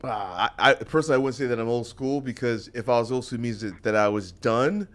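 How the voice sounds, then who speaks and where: A middle-aged man hosting a talk radio show, speaking in a measured way and often restarting his sentences. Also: medium pitch. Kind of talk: casual talk radio chat.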